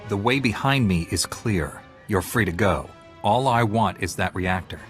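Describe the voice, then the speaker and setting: An actor playing a calm, confident young Jedi knight in a video game, direct and courteous. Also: male voice, lowish voice, measured pace, natural voice. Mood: firm. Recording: music behind the voice.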